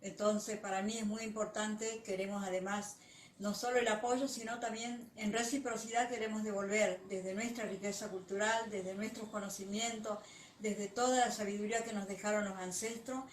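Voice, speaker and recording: A woman from Argentina makes an earnest, halting testimonial appeal for her community. She is heard from a distance in a reverberant space with faint background noise. Her voice sits at a middle pitch. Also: fairly even intonation.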